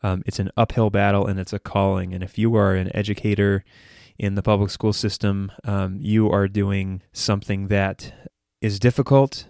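A man's voice: on the low side, little pitch movement, moderate pace, gravelly, a little mellow, no echo, studio quality. An American man hosting a podcast, giving earnest, conversational solo commentary with hesitant phrasing. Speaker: podcast host.

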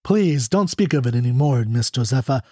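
A reader voicing a kind young cowboy who talks gently and reassuringly. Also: mid-range voice, lively intonation, normal speed, dry recording, clean studio sound, close to an everyday voice. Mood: gentle.

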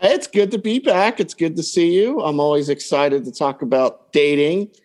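Man, somewhat high-pitched, podcast guest coach, casual advice, anecdotal storytelling. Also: moderate pace, a little mellow. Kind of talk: casual advice chat, telling client anecdotes.

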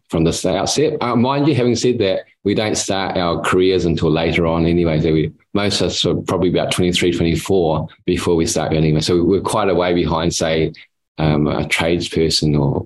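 A man in his sixties from New Zealand with a New Zealand accent, a dentist speaking as a guest in a casual interview. He talks haltingly, repeating words and often ending his phrases with "there". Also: deep voice, rough recording. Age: 60s.

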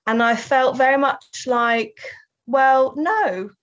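A Southern English woman speaks as an interview guest, working through her ideas with frequent short laughs and restarts. Her voice sits a little high. The recording is slightly dull and lo-fi. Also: slow pace. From England. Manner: thoughtful, laughs often, restarts sentences. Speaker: guest artist and writer. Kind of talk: reflective interview talk.

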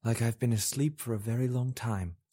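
A man's voice: medium pitch, a little fast, mellow, pitched above the performer's own voice, natural voice. A man voicing a woman goddess, recently woken and weak, speaking in an earnest, urgent way. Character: weakened, resolute woman goddess.

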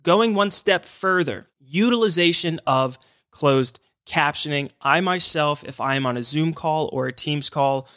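Man, hearing care professional, earnest and encouraging, educational podcast talk, fairly high voice, dry close sound. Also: unhurried, sharp tone, no room sound.

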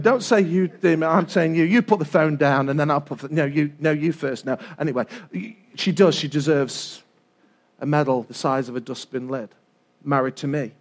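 A middle-aged man with an English accent preaching an informal, humorous sermon in a loud, animated, conversational manner, repeating phrases for emphasis. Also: a little high, normal speed.

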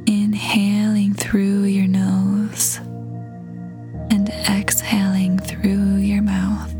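Very slow, soft-voiced woman guiding meditation. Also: mid-range voice. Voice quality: soft, breathy, half-whispered. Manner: gentle, calm, unhurried with long pauses. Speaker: meditation guide. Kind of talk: guided meditation, slow soothing narration. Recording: voice over prominent background music. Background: meditation music.

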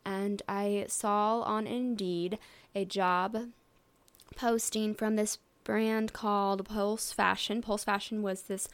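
A woman's voice: medium pitch, little pitch movement, slow pace, smooth. Chatty young woman rambling casually. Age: 20s. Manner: chatty, rambling, frequent likes and you knows. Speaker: podcast host. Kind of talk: casual solo chat.

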